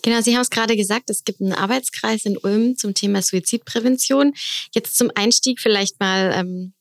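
A woman's voice: medium pitch, fairly quick, gravelly, no echo, clean studio sound. A woman hosting a podcast interview, polite and friendly as she welcomes and questions her guest. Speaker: podcast host.

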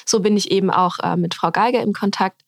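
A woman's voice: medium pitch, a little fast, dry recording, clean studio sound. A woman, a health scientist, explaining her research in a podcast interview, thoughtful with frequent hesitations.

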